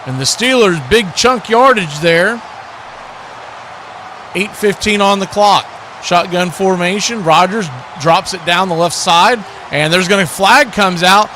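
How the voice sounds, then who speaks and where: A man's voice: high voice, a little slow, sharp tone, slight background noise. A man calling live football play-by-play as host, in clipped, telegraphic phrases. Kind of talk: live sports play-by-play commentary.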